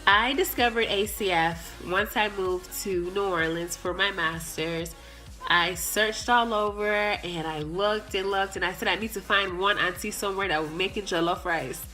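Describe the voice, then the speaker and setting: A woman's voice: medium pitch, normal speed, poor audio. A young adult woman, the fellowship's president, giving an upbeat to-camera introduction with playful asides. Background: soft background music.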